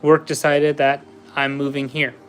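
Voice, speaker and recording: A man's voice: on the high side, flat delivery, measured pace, a bit bright, faint music behind. A man chatting casually with viewers while building a Lego set on a livestream, easygoing and laughing often. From USA. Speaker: livestream host.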